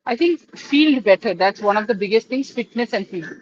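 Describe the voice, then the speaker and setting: A woman with an Indian English accent answers viewer questions in a relaxed live chat, thinking aloud with fillers and restarts. Her voice sits a little high for a woman. The stream audio is somewhat dull and lo-fi. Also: moderate pace. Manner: thoughtful, with many fillers and restarts.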